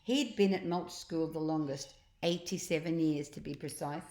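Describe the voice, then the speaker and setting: Older lady reading a kids story, slightly low voice, expressive, stretches words, echoey room. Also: normal speed. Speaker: grandmother reading to grandchildren. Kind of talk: storybook narration read aloud.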